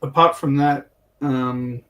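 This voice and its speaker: A man's voice: mid-range voice, fairly even intonation, takes it slowly, pushed and bright, dull audio. A man chatting casually as a panelist. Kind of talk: casual hobby chat. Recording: distant from the microphone.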